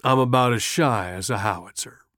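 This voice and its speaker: A man's voice: mid-range voice, moderate pace, a bit bright, dry recording, clean studio sound, close to an everyday voice. A man voicing a shy army general in an audiobook, with terse, understated speech. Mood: dry, restrained.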